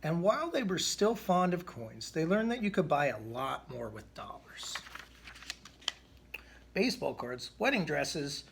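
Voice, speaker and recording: A man reading a children's picture book aloud in an expressive way, with the odd stumble. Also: a little high, moderate pace, gravelly, hardly any pauses, a little hiss or hum. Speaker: storybook reader.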